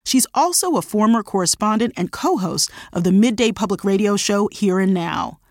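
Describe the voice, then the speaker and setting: A woman's voice: medium pitch, lively intonation, moderate pace, no echo. Woman podcast host, dry close studio sound. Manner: affirming, conversational. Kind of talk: interview conversation.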